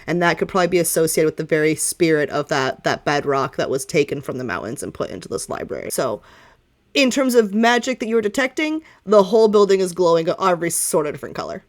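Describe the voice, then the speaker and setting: A woman's voice: fairly low pitch, very animated, fast talker. A woman running a tabletop game, improvising narration as game master and talking with her players.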